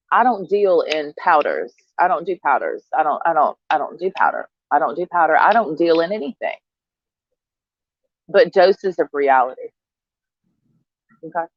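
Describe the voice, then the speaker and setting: A woman's voice: low voice, dry recording, dull audio. A woman in her thirties vlogging in a brash, profane, sarcastic monologue, laughing often as she talks. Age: 30s. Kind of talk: casual monologue to camera.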